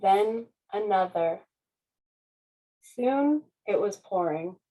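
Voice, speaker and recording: A woman's voice: medium pitch, expressive, drawn-out words, warm tone, poor audio. A woman, a children's author, speaking at a public reading of a picture book. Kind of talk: slow storybook narration.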